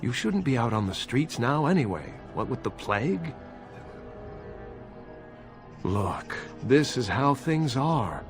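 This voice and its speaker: A worn-out, self-serving poor man in a fantasy game. He drawls slowly and grudgingly, with pitch that moves around a lot and music behind him. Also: big pitch swings. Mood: weary, grudging. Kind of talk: video game voice acting.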